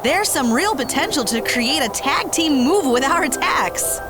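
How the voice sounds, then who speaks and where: An upbeat female fighter played by a woman speaks in a high voice. She is friendly and eager, exclaiming her way through audio drama dialogue. Music plays clearly behind her. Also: lively intonation, measured pace. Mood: excited.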